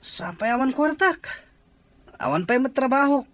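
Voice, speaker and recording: An actor playing an evasive, irresponsible young boyfriend in an Ilocano radio drama, with an Ilocano accent. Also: male voice, very high voice, expressive, moderate pace, slight background noise. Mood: sleepy, evasive.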